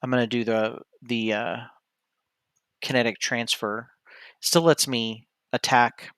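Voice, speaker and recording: A man's voice: mid-range voice, steady tone, slow, fairly bright tone, clean tone, dry recording. A man streaming solo board game play, talking casually through each move and often correcting himself as he decides. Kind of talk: casual play-by-play commentary, thinking aloud.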